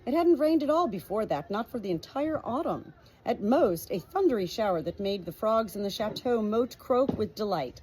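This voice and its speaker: A woman with a slightly husky voice narrating a storybook from a script. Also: mid-range voice, animated, moderate pace, darkish tone, slight background noise, poor audio. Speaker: audiobook reader.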